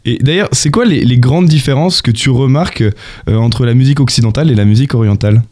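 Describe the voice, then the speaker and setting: Mellow-voiced male radio host. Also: mid-range voice, fast talker. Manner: informal, asks open questions. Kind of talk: casual radio interview.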